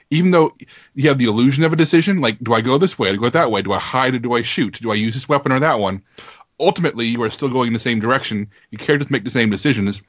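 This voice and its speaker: A man's voice: medium pitch, a bit bright, dry recording. A man giving his take in a casual, analytical podcast discussion. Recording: dull, lo-fi close audio.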